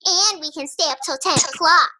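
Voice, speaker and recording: A woman's voice: extremely high pitch, normal speed, sharp tone, full character voice. A woman playing a blunt, cheerful, matter-of-fact young girl in a radio play. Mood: eager, insistent. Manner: childlike, blunt.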